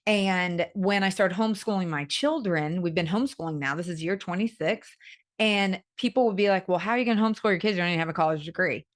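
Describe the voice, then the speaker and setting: A middle-aged woman with a lowish voice hosts a podcast interview. She chats candidly and pokes fun at herself, keeping the tone easy. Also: fast. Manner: chatty, candid, self-deprecating. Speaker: podcast host. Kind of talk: conversational interview.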